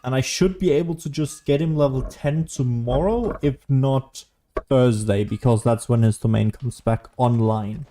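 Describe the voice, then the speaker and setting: A man's voice: medium pitch, moderate pace, dark tone. Casual man rambling through gameplay commentary. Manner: rambling, thinking aloud. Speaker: gaming streamer.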